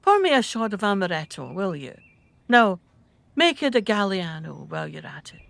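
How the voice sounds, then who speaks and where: A woman's voice: mid-range voice, very animated, moderate pace, gravelly, fairly bright tone. A woman playing an imperious, bitter wife and mother in a radio drama, with a haughty, scornful delivery. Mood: indignant, haughty.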